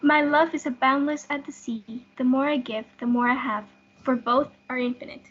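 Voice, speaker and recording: A woman's voice: high voice, steady tone, moderate pace, fairly bright tone, breathy edge. A young woman reading a lovestruck, eager girl in amateur reader's theatre. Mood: tender. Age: teenager. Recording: dull lo-fi audio.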